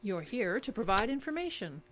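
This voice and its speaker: An actress playing a controlled, secretive interrogator in an audio drama, measured and coolly insistent with clipped questions. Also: female voice, mid-range voice, sing-song, noisy background, lightly acted. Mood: cool, probing.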